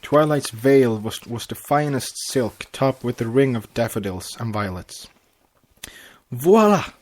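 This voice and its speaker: A man's voice: medium pitch, measured pace, a little mellow. A man reads a story aloud as a podcast narrator, in the style of storybook narration. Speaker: podcast host reading fan fiction aloud. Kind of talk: storybook narration with acted dialogue.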